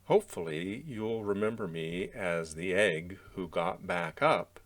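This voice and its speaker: Guy reading as a wistful determined egg in a kids storybook, really deep voice, slow and earnest. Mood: quietly proud. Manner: measured, dramatic pauses, earnest. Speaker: story reader. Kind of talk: gentle picture-book storytelling read aloud.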